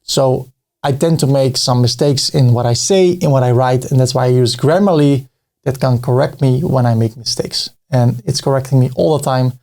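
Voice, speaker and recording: A man's voice: medium pitch, normal speed, warm tone. A man from the Netherlands with a Dutch accent, a native Dutch speaker, talking casually through a screen-recorded tutorial, with fillers and restarts. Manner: chatty, with fillers and restarts, reads out as he types. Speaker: tutorial host.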